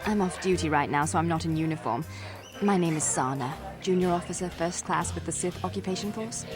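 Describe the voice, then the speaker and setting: A woman as a low-voiced young officer. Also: fairly quick, music in the background, lightly acted. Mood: friendly, wistful. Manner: chatty, open, casual. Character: friendly, lonely young female junior military officer. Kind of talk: video game dialogue acting.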